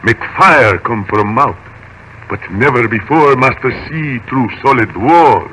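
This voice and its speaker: A man's voice: mid-range voice, lively intonation, unhurried, pushed and bright, slight background noise, rough recording. An actor playing a giant, loyal male servant in a 1930s radio drama, speaking broken English and referring to himself by name. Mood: awed. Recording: old radio recording over music.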